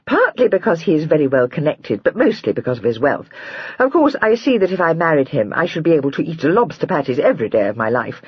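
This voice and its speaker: A narrator voicing a bubbly, spoilt young sister who chatters in a gushing, breathless way. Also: female voice, low voice, very animated, fast talker, slightly rough, no room sound, dull audio, full character voice.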